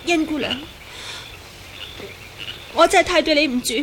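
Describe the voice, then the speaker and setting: A composed, contrite young swordswoman in a radio drama. Her high voice is formal and earnest. Clear noise, like night insects, runs behind her. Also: normal speed, a bit bright, poor audio. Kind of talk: Cantonese radio drama acting.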